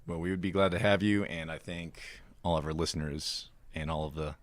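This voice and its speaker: A man's voice: deep voice, steady tone, a little fast, no room sound. A man hosting a casual radio interview, asking questions in an informal, slightly hesitant way. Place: radio station.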